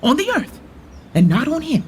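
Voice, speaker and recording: An actor playing a bold, commanding rebel lord, speaking measured, declamatory verse in a Shakespearean radio drama. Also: male voice, very high-pitched, sing-song. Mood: solemn resolve. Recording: faint background noise and ambience.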